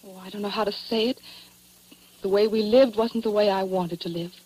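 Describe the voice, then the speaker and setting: A woman playing an earnest, troubled young widow, halting and sincere, in 1940s radio style. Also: medium pitch, fairly even intonation, darkish tone, husky, natural voice, poor audio. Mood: pleading, sad.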